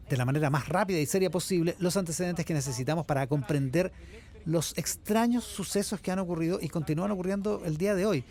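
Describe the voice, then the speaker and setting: An actor playing an earnest radio newscaster announcing a strange emergency live in an audio drama. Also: male voice, a little high, a little mellow, close to an everyday voice. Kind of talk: scripted live-broadcast announcement in audio fiction.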